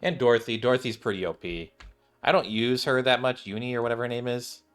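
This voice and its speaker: A man's voice: mid-range voice, fast talker, smooth, soft background music. A man giving casual, off-the-cuff commentary on a game, rambling and thinking aloud as he plays. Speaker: gaming video creator.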